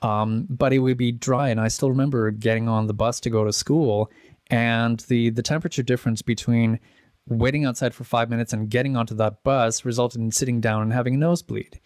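A man's voice: mid-range voice, fairly even intonation, a little fast, a little mellow, no room sound. Chatty man telling stories, dry sound. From Czech Republic. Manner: chatty, rambling with many restarts and fillers. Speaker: musician guest. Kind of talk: casual interview chat.

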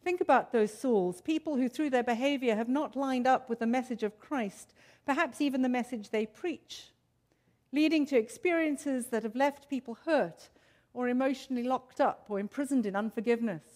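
A South African woman preaching a sermon to her congregation in a measured, earnest way. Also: high-pitched, darkish tone.

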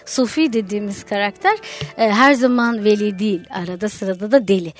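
A woman guest on a radio show, a folklore researcher explaining ideas in a lively way with anecdotes. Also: mid-range voice, fairly quick, soft background music. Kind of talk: radio conversation. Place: radio studio.

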